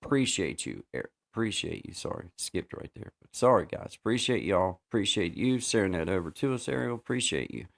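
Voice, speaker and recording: Casual livestream host, male, deep and gravelly, rambling delivery. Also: lively intonation, fairly quick, few pauses, a bit bright. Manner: rambling, repeats himself, many fillers.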